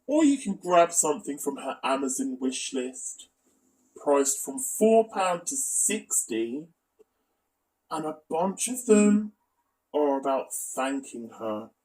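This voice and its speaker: A man's voice: a little high, big pitch swings, takes it slowly, darkish tone, far from the mic, low-quality recording. A man narrating a scripted exposé as a video commentator, with asides and a critical, accusatory manner.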